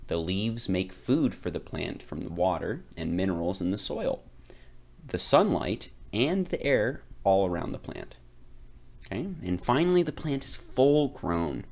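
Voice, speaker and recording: A man reading a children's picture book aloud, phrasing it deliberately and with expressive emphasis. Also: deep voice, gravelly. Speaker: read-aloud reader.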